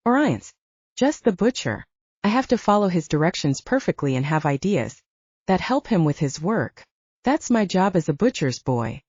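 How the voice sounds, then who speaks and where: An earnest, awkward teenage boy acted out by a woman narrator blurts out his insecurities in an audiobook. The pitch swings widely, and the sound is dry and slightly dull. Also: very animated, moderate pace, a little mellow, slightly rough, no room sound. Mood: earnest, stressed. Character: big, earnest, insecure teenage younger brother. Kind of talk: audiobook character dialogue.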